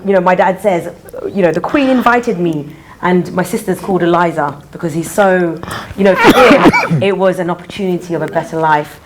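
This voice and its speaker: Low-voiced woman explaining, background noise. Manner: explanatory, frequent throat clearing. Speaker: panel speaker. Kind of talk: informal panel discussion. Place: indoor public exhibition discussion. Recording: background noise of live event.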